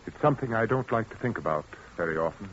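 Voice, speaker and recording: Sinister suave widower, lowish voice, lively swoops, dull hissy old radio sound. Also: male voice, fast talker. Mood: brooding. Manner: suave, insinuating. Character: smooth sinister widower, middle-aged man. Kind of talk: 1940s radio acting. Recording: dull old radio recording with faint hiss.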